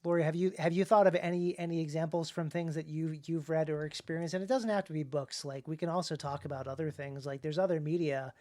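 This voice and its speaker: A man co-hosting a casual livestream discussion as an author, in a halting, discursive way that often restarts phrases. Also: on the high side, steady tone, warm tone. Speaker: author and co-host of a writing talk show.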